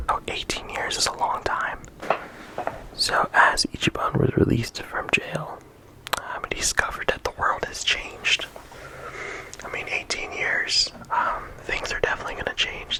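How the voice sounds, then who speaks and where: Soft whispering ASMR speaker. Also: low-quality recording. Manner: soft unvoiced whisper throughout; casual, with frequent ums and likes. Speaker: ASMR creator. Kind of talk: whispered ASMR game discussion.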